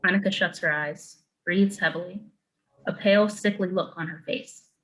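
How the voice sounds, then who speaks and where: A woman's voice: lowish voice, normal speed, a bit bright, poor audio. A woman narrator reading screenplay stage directions in clipped, matter-of-fact phrases.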